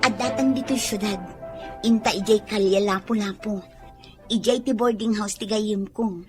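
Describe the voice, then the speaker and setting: A woman's voice: medium pitch, steady tone, normal speed, music in the background, close to an everyday voice. A woman with an Ilocano accent playing a stubborn, eager young country daughter in a radio drama, whiny and insistent. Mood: hopeful.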